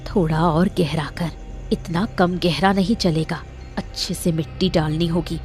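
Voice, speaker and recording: A woman's voice: fairly low pitch, steady tone, measured pace, darkish tone, soft background music, close to an everyday voice. A woman playing a ruthless, commanding married woman scheming in a cartoon moral story. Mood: tense, conspiratorial. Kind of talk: Hindi cartoon story dialogue.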